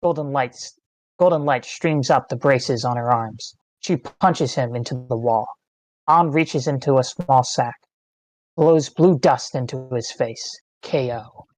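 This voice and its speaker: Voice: mid-range voice, unhurried, darkish tone, no echo, rough recording. A narrator reading screenplay stage directions in terse, dramatic phrases. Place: online meeting, close dry mic.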